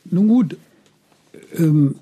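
Older man, from Israel, interview guest, very slow, deliberate, hesitant, dark mellow voice. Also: on the high side, lively intonation, husky. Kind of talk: reflective interview answers.

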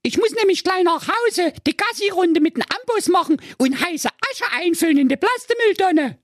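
A man voicing a clumsy young heroine of a silly fairy tale in a very high, squeaky falsetto, whiny and dithering. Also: animated, normal speed, dry recording, studio quality. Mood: polite.

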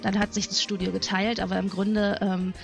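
A woman's voice: mid-range voice, flat delivery, normal speed, gravelly, faint music behind. A middle-aged woman, chatting casually and rambling as she reminisces on a podcast panel.

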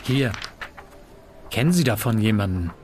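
An actor playing a courteous, purposeful professor in an amateur science fiction audio drama. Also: male voice, fairly low pitch, lively intonation, moderate pace, darkish tone, slight background noise, close to an everyday voice. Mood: earnest. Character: composed, courteous male English professor, purposeful. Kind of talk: hobby sci-fi audio drama acting.

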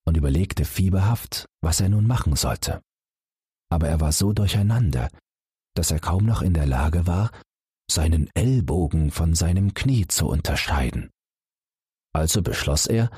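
A man reading a fairy tale as a calm bedtime story, gently addressing young listeners. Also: low voice, slightly rough, dry recording, studio quality. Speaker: audiobook narrator.

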